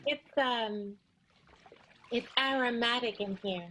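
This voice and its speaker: An actress playing a timid, adoring, melodramatic wife with a hesitant delivery in an improvised radio soap opera. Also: female voice, fairly high pitch, slow pace, background noise. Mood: uneasy. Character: breathlessly adoring, timid, dramatic wife of film star.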